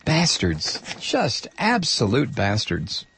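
A man's voice: mid-range voice, huge pitch swings, slow, a bit bright, slight background noise. A man hosting a political talk radio show, ranting angrily and sarcastically, and losing his voice.

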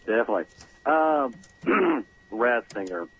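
A man's voice: mid-range voice, very animated, unhurried, husky. A man calling in to a radio talk show, speaking casually and a bit hesitantly. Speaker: caller. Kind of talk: phone-in call. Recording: dull lo-fi phone line.

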